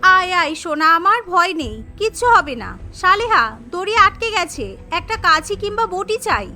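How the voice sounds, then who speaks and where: A woman voicing a plucky, caring young wife of a village household in a cartoon, spirited and brisk. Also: very high voice, sing-song, sharp tone, heavily acted. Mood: urgent, caring. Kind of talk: cartoon character dialogue.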